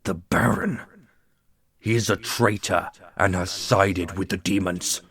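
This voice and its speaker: A man playing a proud, earnest dark prince in a fantasy audio roleplay, speaking formally. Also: low-pitched, fairly even intonation, slow, breathy edge, exaggerated voice. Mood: indignant.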